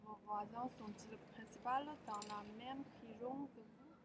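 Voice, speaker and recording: A woman interviewer reads her questions slowly, halting and restarting as she goes. A clear layer of background noise sits under her voice on the recording. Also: on the high side, steady tone. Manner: halting, slow, stumbles over questions.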